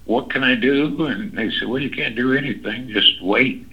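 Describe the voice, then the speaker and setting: A man, recalling his past in an oral history interview, talking haltingly with many fillers and restarts. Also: mid-range voice, vocal fry, breathy edge, dull audio. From Kansas City, United States. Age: 80s. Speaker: interviewee, Navy veteran. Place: library interview room.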